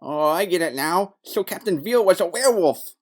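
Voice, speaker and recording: Actor for irreverent detective sidekick in noir comedy audio play: deadpan wisecracks, flippant delivery, mid-range voice. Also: medium pitch, normal speed, lightly acted. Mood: joking. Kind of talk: comic noir audio drama acting.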